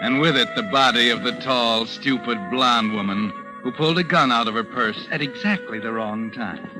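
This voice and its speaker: A man's voice: mid-range voice, normal speed, natural voice, rough recording. A man acting as a sardonic, all-knowing narrator of an old radio mystery, taunting and insinuating as he addresses a criminal. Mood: mocking. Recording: old radio recording, loud music behind. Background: loud dramatic music.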